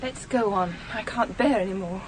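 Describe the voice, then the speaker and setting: An actress playing a sensitive but strong-willed young woman in a radio drama, emotional and quick to snap. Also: mid-range voice, darkish tone, a little hiss or hum, natural voice, low-quality recording. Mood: distressed. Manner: emotional, quick to upset and snappish. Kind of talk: 1970s radio drama acting.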